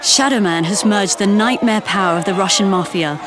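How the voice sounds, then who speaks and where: An actress playing a sharp-tongued female intelligence officer who briefs a pilot in a crisp, sardonic manner. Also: medium pitch, normal speed, background noise, close to an everyday voice. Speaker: intelligence briefer in a game cutscene. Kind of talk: scripted game cutscene briefing. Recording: loud music behind the voice.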